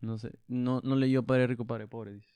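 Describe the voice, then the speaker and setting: A young man co-hosting a podcast in casual banter, teasing his partner, cursing and firing off quick jokes. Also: mid-range voice, little pitch movement, warm tone, clear, resonant tone, dry recording. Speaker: podcast co-host.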